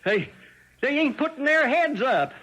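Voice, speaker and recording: An actor playing a folksy, excitable American soldier who stammers and talks ungrammatically, in a 1970s radio drama. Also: male voice, extremely high pitch, measured pace. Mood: alarmed, panicky. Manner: rustic, ungrammatical speech with stammered repeats. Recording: noisy 1970s radio broadcast recording.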